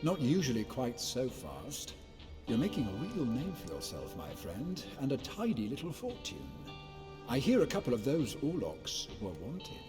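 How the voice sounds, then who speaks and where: Cautious mentor, wry, knowing, animated pitch, game cutscene, music behind. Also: male voice, moderate pace. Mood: wry, intrigued. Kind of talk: video game cutscene acting.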